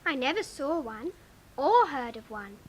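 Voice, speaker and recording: A woman's voice: high voice, very animated, unhurried. A female actor playing a polite, curious young girl in a storybook drama, with a prim manner.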